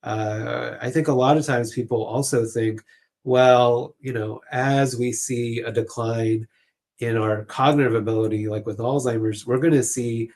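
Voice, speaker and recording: A man speaking as a clinician guest, explaining things conversationally in a halting, informal way with lots of filler phrases. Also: mid-range voice, steady tone, a little mellow. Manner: halting, informal, peppered with "you know" and "like".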